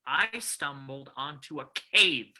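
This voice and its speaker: Woman playing a forceful, sharp-voiced male crime boss. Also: sits low, lively intonation, takes it slowly, exaggerated voice. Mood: sly. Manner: curt, bossy, rough Western talk. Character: ruthless, scheming male crime boss named Vince. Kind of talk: old-time radio drama reading.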